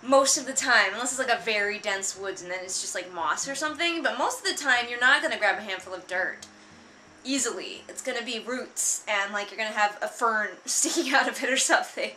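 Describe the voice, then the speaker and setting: A woman's voice: a little high, brisk, pushed and bright, slight background noise. A woman giving casual, sarcastic commentary, with an exasperated, mocking and emphatic way of talking. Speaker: book reviewer and vlogger.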